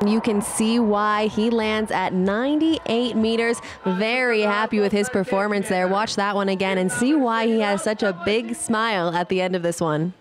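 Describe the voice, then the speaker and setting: A woman giving scripted sports highlights commentary as a voice-over. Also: normal speed, few pauses. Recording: clear ambient event noise behind voice.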